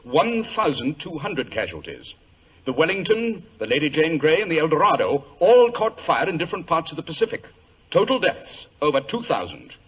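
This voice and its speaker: Raspy, imperious English detective. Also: male voice, a little high, big pitch swings, normal speed, full character voice. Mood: cool, grave. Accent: British. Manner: clipped, haughty, precise, theatrical. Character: brilliant, imperious, cool-headed English detective. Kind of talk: 1940s radio acting. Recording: muffled, rough old radio recording.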